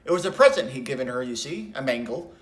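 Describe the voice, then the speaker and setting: A man's voice: mid-range voice, lively intonation, fast talker, close to an everyday voice. A man playing a chatty, mock-polite but menacing working-class man in a theatrical monologue. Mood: sly, aggrieved. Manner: conversational, digressive, mock-polite.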